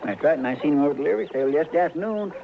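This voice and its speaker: A man's voice: a little high, lively intonation, rapid-fire, vocal fry, noisy background. A man playing a naive, deferential deputy with a western in an old-time radio western. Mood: puzzled, folksy. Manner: folksy, deferential. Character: earnest, naive, deferential country deputy sidekick. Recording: muffled old radio, crowd behind.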